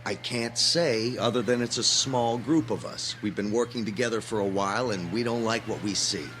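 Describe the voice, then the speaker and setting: A man's voice: lowish voice, moderate pace, a bit bright, slight background noise, close to an everyday voice. A man acting in an audio drama as an earnest, idealistic young graduate student with an intense, preachy manner. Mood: earnest, explaining.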